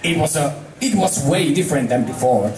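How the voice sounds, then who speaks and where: A man reading a story aloud as an amateur narrator, halting and stumbling over words. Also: a little high, animated, a little mellow. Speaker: amateur fan fiction reader. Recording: echoey room, distant mic.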